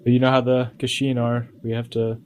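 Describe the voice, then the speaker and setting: Man playing reserved soldier, tabletop game. Very monotone, dark mellow voice, terse and dutiful, music behind. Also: medium pitch, fairly quick, natural voice. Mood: guarded, concerned. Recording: muffled band-limited audio under music.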